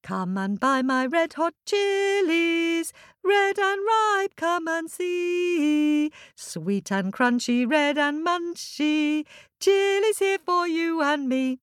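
Little boy, played by a woman storyteller, very high pitch, earnest, tearful, singsong pleading, children's storybook. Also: lively intonation, a little slow, fairly bright tone, no room sound, studio quality, heavily acted. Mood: cheerful, singsong.

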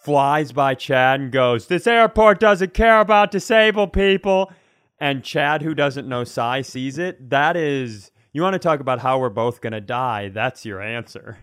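Man in animated, rambling podcast banter. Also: mid-range voice, a little slow, no room sound, studio quality. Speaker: comedy podcast co-host. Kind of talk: casual comedic conversation.

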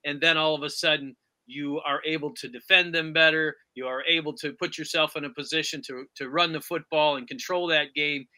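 A man's voice: a little high, fairly even intonation, a little fast, bright, forceful tone. A man hosting a sports talk interview, putting questions and comments to his guest. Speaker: sports podcast interviewer, host.

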